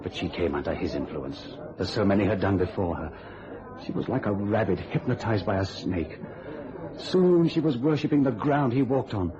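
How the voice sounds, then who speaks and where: An actor playing an earnest, worried stagehand in a radio thriller, speaking in a hesitant manner. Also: male voice, lowish voice, expressive, moderate pace, a crowd in the background, close to an everyday voice. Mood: wistful. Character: earnest, worried stagehand who builds magic tricks, lovesick. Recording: muffled radio recording, heavy hiss.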